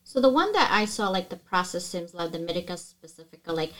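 A woman's voice: lowish voice, big pitch swings, a little fast, fairly bright tone. A woman hosting an education podcast, reading a scripted opening and then asking casual, eager questions. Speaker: podcast host. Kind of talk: podcast intro and interviewing.

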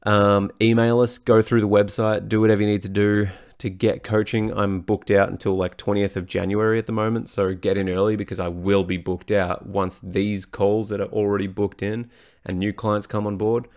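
A low-pitched man with a hoarse, worn voice talks on his own podcast. He speaks frankly and swears freely in casual chat. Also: flat delivery, moderate pace, a little mellow. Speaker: personal trainer and podcast host. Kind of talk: casual solo podcast talk.

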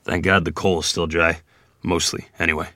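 A man's voice: low voice, little pitch movement, normal speed, sharp tone, dry recording, clean studio sound. A man playing a gritty, determined 1920s river ferryman in a screenplay table read, terse and commanding. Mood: grim.

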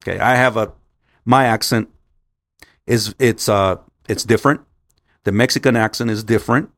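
A man's voice: fairly low pitch, normal speed, a bit bright, dry recording. A man, a Spanish speaker, telling a casual story to viewers in a rambling, repetitive, stop-start way peppered with swearing. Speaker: vlogger.

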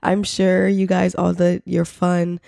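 A young woman hosting a casual podcast while sick, her voice congested, nasal and raspy, chatting with lots of fillers. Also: lowish voice, completely flat delivery, slow pace, dark tone, no echo, studio quality. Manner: chatty, filler-heavy, with little laughs. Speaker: podcast host. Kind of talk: casual solo podcast chat.

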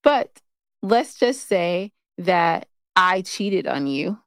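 A woman co-hosting a couple's show in casual, teasing banter, expressive and playfully argumentative. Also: lowish voice, drawn-out words, dry recording, clean studio sound. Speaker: co-host of a couple's relationship show.

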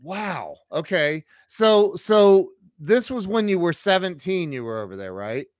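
A man's voice: high voice, animated, measured pace. A man hosting a casual live interview, reacting with short, amazed interjections. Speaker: podcast host interviewing a guest.

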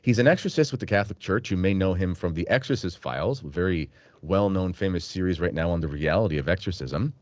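A man's voice: sits low, quick pace, no echo, dull audio. A man from Canada hosting an interview podcast, chatty and joking, with frequent fillers. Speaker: host.

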